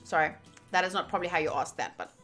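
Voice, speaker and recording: A woman's voice: fairly low pitch, racing. A woman streamer reading visual novel text aloud with casual, playful commentary. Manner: animated, playful, exclaiming. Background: game music.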